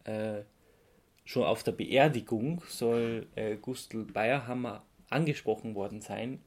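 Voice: mid-range voice, slow pace, a little mellow. A podcast host chatting casually in a jokey way, often ready to laugh. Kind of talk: casual chat.

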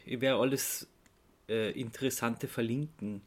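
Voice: mid-range voice, fairly even intonation, unhurried. A podcast co-host, explaining things informally in casual conversation.

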